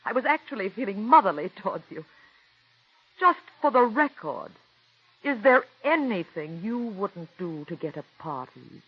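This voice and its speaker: A bitter, ironic playwright's wife. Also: female voice, medium pitch, sing-song, moderate pace, breathy edge. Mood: angry. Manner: dry, ironic, biting. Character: bitter, sharp-tongued playwright's wife, middle-aged. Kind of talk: 1940s radio drama acting. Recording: dull old radio broadcast with music.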